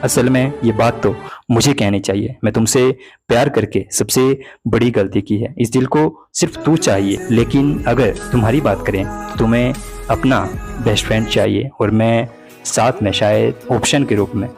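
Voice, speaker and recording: A man's voice: medium pitch, moderate pace, gravelly, lightly acted. A young man playing a hurt, jealous boyfriend, reproachful and pleading in a dramatized breakup talk. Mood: bitter. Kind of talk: dramatized breakup phone conversation in Hindi. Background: background music.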